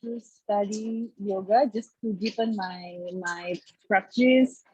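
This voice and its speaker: A woman's voice: medium pitch, slow pace, a little mellow, slightly rough, dry recording, dull audio. A woman from Indonesia, introducing herself as a student on a video call, hesitant and searching for words.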